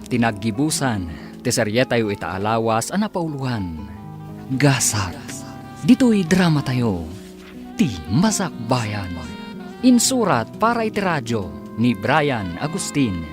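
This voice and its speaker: A man's voice: medium pitch, very animated, takes it slowly. A man announcing the scripted closing of an Ilocano radio drama serial. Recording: loud music under the voice.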